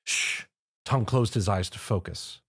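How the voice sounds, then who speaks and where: A man narrates a scripted story. His voice has a medium pitch. His delivery is animated, with plenty of rise and fall. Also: moderate pace, no echo, clean studio sound.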